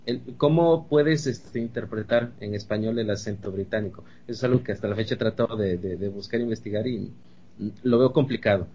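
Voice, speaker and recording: Man, calm explainer on a gaming panel, muffled lo-fi sound. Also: on the low side, fairly quick. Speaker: podcast panelist. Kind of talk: casual gaming chat.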